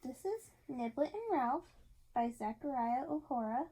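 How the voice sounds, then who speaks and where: A woman's voice: high-pitched, lively intonation, unhurried, mellow, breathy edge, poor audio. A woman reading a picture book aloud to a child in an interactive way, encouraging and asking questions. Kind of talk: interactive storybook read-aloud.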